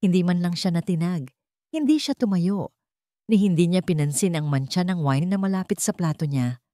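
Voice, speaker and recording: A Filipino woman narrates an audiobook in a fairly low voice. Her delivery is scripted, dramatic first-person storytelling. The sound is dry, with very little room. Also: animated, normal speed, dark tone, no room sound.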